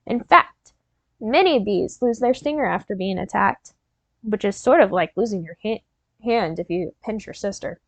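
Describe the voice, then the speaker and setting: A woman reading a picture book aloud as a teacher, pausing to prompt and encourage young listeners in an instructional way. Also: medium pitch, animated, dry recording. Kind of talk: interactive read-aloud, storytelling with questions to young listeners.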